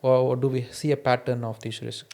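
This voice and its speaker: Male podcast host, Indian accent, non-native English, mid-pitched voice, self-questioning and candid in conversation. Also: level tone, a little fast, vocal fry, mellow. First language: an Indian mother tongue, not English. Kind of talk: candid conversation, personal anecdotes.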